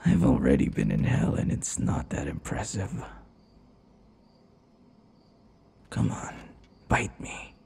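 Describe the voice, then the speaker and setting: A man playing a possessive, taunting vampire captor in an intimate ASMR roleplay, his breathy voice often dropping into whispers. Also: very deep voice, very animated, a little mellow, exaggerated voice. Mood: coaxing, threatening. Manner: taunting, slow, drawn-out endearments. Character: possessive, obsessive male vampire captor and would-be lover. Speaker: audio roleplay voice actor.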